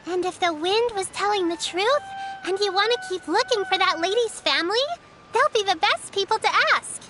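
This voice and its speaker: A woman's voice: extremely high pitch, moderate pace, noisy background. A woman voicing a kind, sensible young girl in a video game, friendly and helpful.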